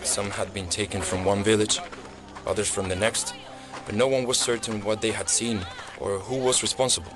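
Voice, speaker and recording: Actor, Cuban-accented village man, earnest, urgent, lowish pitch, audio drama, prominent music underneath. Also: steady tone, moderate pace, natural voice. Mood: earnest, pleading. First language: Spanish. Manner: urgent, polite, imploring. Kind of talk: immersive audio drama acting.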